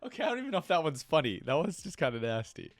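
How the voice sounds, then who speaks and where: A man's voice: a little high, big pitch swings, very fast, no room sound, soft background music. A man hosting a reaction video, reading a story aloud and commenting casually on it as he goes.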